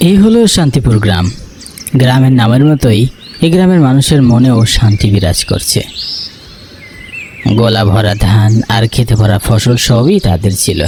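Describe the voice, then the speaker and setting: A man's voice: mid-range voice, animated, moderate pace, long pauses, a little mellow, a little hiss or hum. A man reading scripted narration for a horror cartoon story. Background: music.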